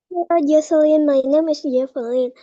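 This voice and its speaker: High-voiced girl, muffled video call. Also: mellow, dry recording. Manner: careful, halting learner questions. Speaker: student.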